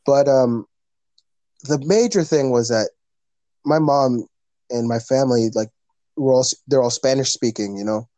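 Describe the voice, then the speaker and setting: A man's voice: mid-range voice, a little slow, long pauses, dark tone, no room sound. A man, talking earnestly as a podcast guest in a rambling, casual way with many fillers. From Canada. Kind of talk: casual conversation.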